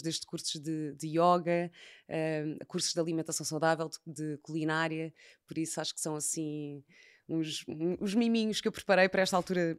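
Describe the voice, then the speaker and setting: A woman with a European Portuguese accent hosts a relaxed podcast conversation by the fireside. She talks in a chatty way with lots of fillers, and her voice sits a little low. The sound is dry and close. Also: clean tone, no room sound. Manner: chatty, laughs easily, many fillers. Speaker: podcast host. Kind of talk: casual podcast interview. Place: indoors by a fireplace.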